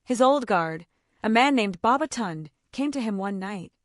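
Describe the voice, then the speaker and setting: A woman storyteller narrating a scripted folktale in a theatrical, suspenseful manner with short measured phrases. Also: medium pitch, lively intonation, dry recording, clean studio sound.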